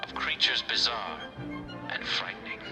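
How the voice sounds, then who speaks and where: A man's voice: medium pitch, big pitch swings, unhurried, pushed and bright, soft background music, exaggerated voice. A man playing a portentous exhibit narrator in a scripted audio drama.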